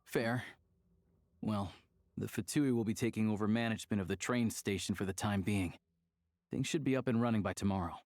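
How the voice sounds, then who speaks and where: Low-voiced, easygoing game ally played by a man. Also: fairly quick, darkish tone, dry recording, close to an everyday voice. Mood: reassuring. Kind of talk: video game dialogue acting.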